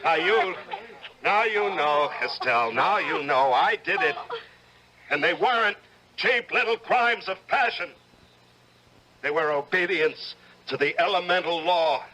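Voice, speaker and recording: An actor playing a stern, overwrought judge on the edge of madness in an old-time radio drama, declaiming theatrically. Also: male voice, high-pitched, animated, unhurried, long pauses, bright, forceful tone, exaggerated voice, low-quality recording. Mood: frenzied, confessing.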